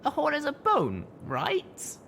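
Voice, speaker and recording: A man's voice: very high voice, huge pitch swings, takes it slowly, gravelly, sharp tone, a little hiss or hum, pitched above the performer's own voice, exaggerated voice. A man voicing an earnest, tender-hearted young female pony with a hesitant manner. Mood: unsure.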